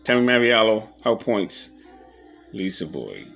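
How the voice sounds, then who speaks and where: A man giving a casual show-and-tell commentary as an enthusiastic collector, halting a little as he reads old clippings aloud. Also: lowish voice, sing-song, gravelly, a bit bright, faint music behind. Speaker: boxing memorabilia collector showing his scrapbooks on video.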